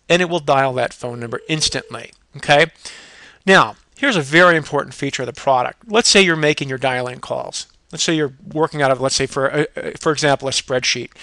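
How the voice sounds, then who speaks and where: A man presenting a product demo as a software salesman, with an emphatic, instructional, salesy way of talking. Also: on the high side, no room sound. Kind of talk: screen-recorded product demo pitch. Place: indoors, voice-over on a computer screen recording.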